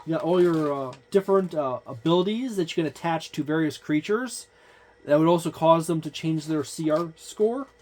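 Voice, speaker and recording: A man's voice: a little high, moderate pace, a little mellow. A man giving an unscripted review of a tabletop game book, meandering and thinking aloud as he goes.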